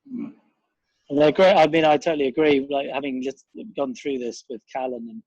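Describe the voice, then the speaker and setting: A man's voice: medium pitch, fairly even intonation, moderate pace, darkish tone, dry recording, highs cut off. A man chatting casually as an interview guest, emphatic and informal, restarting his phrases.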